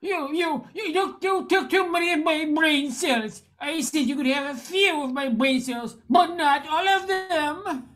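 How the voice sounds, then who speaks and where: Older woman acting as befuddled middle-aged man, gentle, naive, very slow, high-pitched, hesitant stammer, amateur radio play. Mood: alarmed protest. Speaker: amateur radio drama actor.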